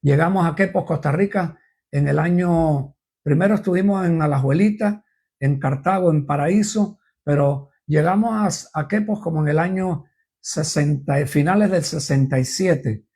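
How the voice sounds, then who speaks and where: Gravelly older man preaching. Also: a little high, moderate pace, a little mellow. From Cuba. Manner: rambling, testimonial, repeats refrains. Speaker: apostle preaching on a live program. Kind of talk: informal live-stream preaching.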